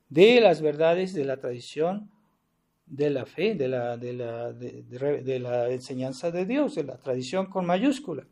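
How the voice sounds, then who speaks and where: A man's voice: on the high side, dark tone. A man, a priest giving a sermon in an earnest, insistent way, often adding rhetorical tag questions. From Mexico. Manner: earnest and insistent, with frequent rhetorical "¿verdad?" tags and restarts.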